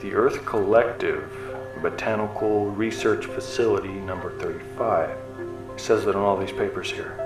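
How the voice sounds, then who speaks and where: A man playing an eager, reckless explorer in a sci-fi audio drama, excitable and curious. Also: on the low side, moderate pace, lightly acted. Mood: curious discovery. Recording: roomy, distant mic, music behind.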